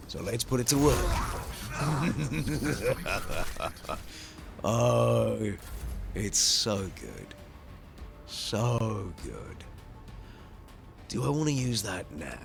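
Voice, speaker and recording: A man's voice: mid-range voice, sing-song, very slow, creaky, pauses often. A man commentating over his playthrough of a strategy game, musing aloud and talking to the characters, with game music behind him. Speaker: gaming channel host.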